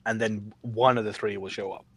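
A man talks as a panelist on a casual gaming podcast. His voice is a little low, and he explains in a tentative, conversational way. The recording is dry, without room sound. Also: fairly even intonation, a little fast, slightly rough, no echo. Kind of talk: casual roundtable discussion of a video game.

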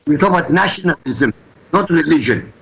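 A man's voice: on the high side, expressive, fairly quick, vocal fry, a bit bright, husky, low-quality recording. A man from Iraq, a guest answering interview questions in an emphatic, insistent way. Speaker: guest commentator.

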